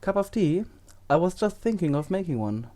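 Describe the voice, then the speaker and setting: A man playing a kindly, mild wizard professor in an audio drama, gentle and thoughtful in manner. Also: sits high, very animated, normal speed. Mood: kind, inviting. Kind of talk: fan audio drama acting.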